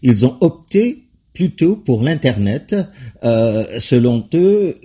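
A man hosting a radio talk show, asking his guest questions in an unhurried way with fillers. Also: medium pitch, dark tone, breathy edge. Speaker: radio host.

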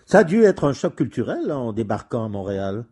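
Lively-voiced male radio host. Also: mid-range voice, fairly quick, slightly rough. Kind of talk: radio interview.

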